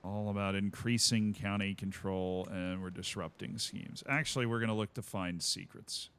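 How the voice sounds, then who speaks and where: A man's voice: lowish voice, steady tone, moderate pace, a bit bright, smooth. A man streaming a strategy game, chatting casually with wry asides to himself.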